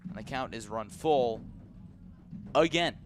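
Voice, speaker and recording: A man calling baseball live on radio from a press box as play-by-play announcer, with a measured delivery that lifts on each pitch. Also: noisy background. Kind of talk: live radio sports commentary.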